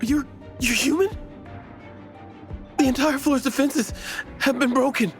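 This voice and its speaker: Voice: sits high, measured pace. An actor playing a panicky, stammering, cowardly enemy guard in a video game. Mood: panicked. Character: terrified, cowardly enemy guard, pleading and defensive. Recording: game music behind.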